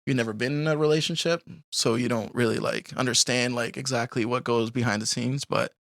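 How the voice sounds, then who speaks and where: A man's voice: medium pitch, fairly even intonation, fairly quick, a bit bright, no room sound, studio quality. A young man from Colombia telling his life story in a casual interview, rambling with lots of fillers and frequent laughs. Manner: rambling, laughs often, many fillers like "like" and "you know". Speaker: podcast guest.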